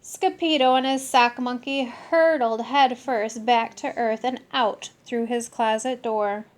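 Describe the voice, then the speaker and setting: A woman reading a children's story aloud in an expressive, animated way with deliberate phrasing. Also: fairly high pitch. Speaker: storybook reader.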